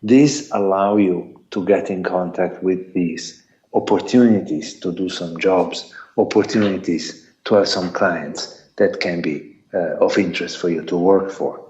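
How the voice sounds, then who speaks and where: Man with Italian accent, very deep voice, deliberate lecture, roomy echo, dull lo-fi audio. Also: fairly even intonation, darkish tone, a little hiss or hum. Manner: deliberate, repeats himself, counts points off. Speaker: sports lawyer giving a talk. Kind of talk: lecture with advice to students. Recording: echoey, dull lo-fi sound.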